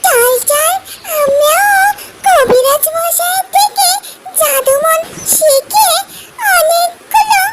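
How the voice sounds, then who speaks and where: A woman voicing an excitable little girl playmate in a children's cartoon, with sing-song, stretched-out words. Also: very high-pitched, takes it slowly. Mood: keen, curious. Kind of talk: children's cartoon story voice acting. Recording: very noisy track with clicks.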